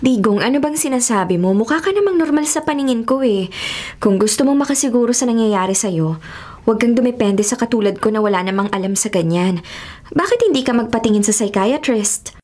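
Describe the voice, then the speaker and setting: High-pitched, nervous young Filipina college student. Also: female voice, lively intonation, fairly quick, darkish tone. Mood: guarded. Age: young adult. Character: frightened, evasive young female college student seeing ghosts. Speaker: radio drama actress. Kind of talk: radio horror drama acting.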